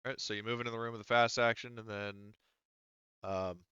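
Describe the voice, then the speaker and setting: Man, game master, low smooth voice, halting improvised narration, dry slightly dull audio. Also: fairly even intonation, bright, forceful tone, no room sound. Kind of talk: narrating a tabletop roleplaying game.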